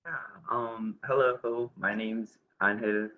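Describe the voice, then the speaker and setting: A young man from North Carolina, a college freshman guest, talking in a halting, self-deprecating way on a video call. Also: on the low side, fairly even intonation. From North Carolina, USA. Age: young adult. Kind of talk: casual chat on a video call. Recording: dull lo-fi sound.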